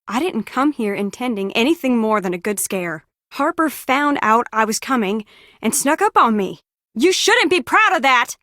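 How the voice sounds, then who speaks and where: A troubled, guilt-ridden young woman in a video game. Her voice is high and anxious, earnest and defensive, recorded dry and close. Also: big pitch swings, normal speed, pushed and bright, no echo. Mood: defensive, confessing. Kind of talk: video game character acting.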